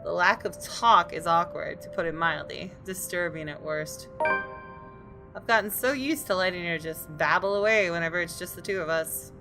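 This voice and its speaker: A woman reading a visual novel's story aloud as expressive scripted narration for a gaming video. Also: fairly low pitch, normal speed. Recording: music playing behind.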